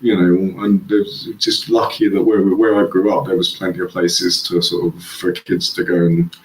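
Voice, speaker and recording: A man's voice: low voice, little pitch movement, normal speed, reverberant, a little hiss or hum, low-quality recording. A man from England, a guest, chatting casually and rambling through his childhood stories. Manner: rambling, laughs at own stories. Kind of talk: casual chat.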